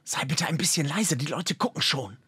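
A man's voice: mid-range voice, lively intonation, a little fast, breathy edge, no room sound, pitched above the performer's own voice. A man voicing an anxious, urgent male herald in audiobook dialogue, speaking insistently. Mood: hushed urging.

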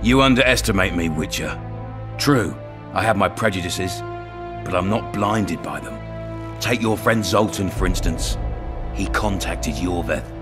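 An actor playing a cunning, blunt and sardonic town commandant in a dark fantasy video game. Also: male voice, low voice, unhurried. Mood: scheming, sardonic. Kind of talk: dramatic video game acting. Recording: music behind the voice.

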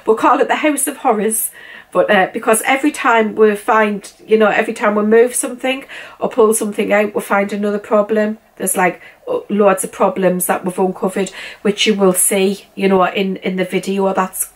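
A woman's voice: mid-range voice, steady tone, normal speed. A woman in her forties with a British accent, chatting casually to camera in her living room, giggly and full of fillers. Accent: British English. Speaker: vlogger. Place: living room at home, indoors.

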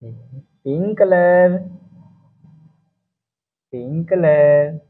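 A man's voice comes through a thin, phone-like recording. He speaks very slowly, with a husky tone, as he teaches small children. He repeats names and addresses the children directly. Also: on the high side. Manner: repetitive, instructional, addressing children. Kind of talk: simple lesson for young children, repeating names. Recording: muffled, narrow phone-like sound.

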